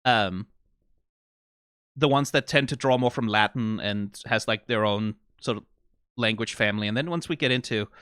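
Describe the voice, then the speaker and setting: A man's voice: on the low side, normal speed, a bit bright, clean tone, no echo, studio quality. A Danish man with a Danish accent hosting a reaction video, rambling casually from tangent to tangent, swearing now and then and often asking "right?". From Denmark.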